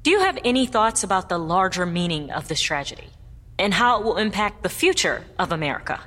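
An actress playing an earnest news interviewer in a historical dramatization, speaking in a measured, formal broadcast style. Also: female voice, mid-range voice, sing-song, fairly bright tone. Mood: earnest, inquiring. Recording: long hall-like reverb.

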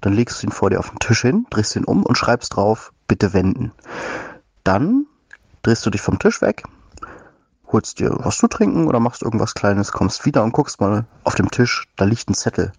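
A man's voice: lowish voice, sing-song, normal speed, breathy edge, dry recording. A man from northern Germany leaving a casual, playful voice message with questions and instructions. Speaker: listener sending questions by voice message.

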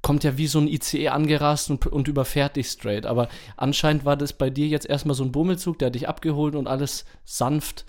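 A man's voice: a little high, fairly even intonation, brisk, hardly any pauses, a little mellow. A man co-hosting a podcast, chatting casually in a rambling way sprinkled with English slang. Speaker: podcast co-host. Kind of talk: casual chat.

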